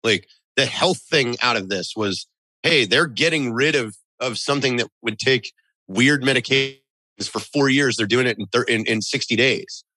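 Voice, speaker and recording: A man co-hosting a podcast in casual chat, rambling with restarts as he rants, speaking into a boom mic in a studio room. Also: moderate pace, sharp tone, no echo, poor audio. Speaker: podcast co-host. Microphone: studio mic on boom arm.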